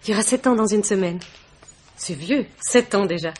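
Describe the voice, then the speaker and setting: Husky-edged, gracious housewife acted by a woman. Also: medium pitch, moderate pace, darkish tone, close to an everyday voice. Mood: tender, content. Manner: polite, talkative, romantic, confiding. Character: gracious, chatty, devoted young wife and hostess. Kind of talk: 1950s French radio mystery acting.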